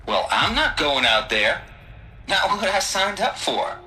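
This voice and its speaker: A man's voice: on the high side, big pitch swings, a little slow, sharp tone, full character voice. A blunt, uneasy male colleague. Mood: defensive. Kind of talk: video game dramatic acting.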